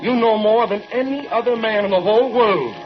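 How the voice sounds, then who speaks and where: A man's voice: sits high, fairly quick, lightly acted. Man as insistent, grave brother, muffled old radio. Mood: pointed. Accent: American. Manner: insistent, pointed, probing. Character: persuasive, grave brother confronting a dangerous scientist. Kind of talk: old-time radio drama acting. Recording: muffled vintage radio recording with music.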